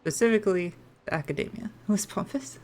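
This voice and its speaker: A woman's voice: mid-range voice, fairly quick, darkish tone, faint music behind. A woman giving casual, playful running commentary as she plays a game, reacting in an offhand way. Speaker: game streamer.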